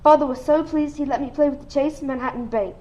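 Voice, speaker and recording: A woman's voice: sits high, steady tone, quick pace, mellow, close to an everyday voice. A teenage girl playing a spoiled rich child who gives a matter-of-fact testimonial in a comic radio ad. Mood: smug, matter-of-fact. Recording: slightly dull lo-fi audio.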